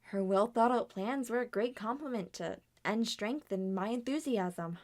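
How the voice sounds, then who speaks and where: Woman doing high earnest young cartoon character voices, anxious, theatrical murder mystery roleplay. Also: natural voice. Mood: earnest, defensive. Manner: theatrical, deliberate acted dialogue.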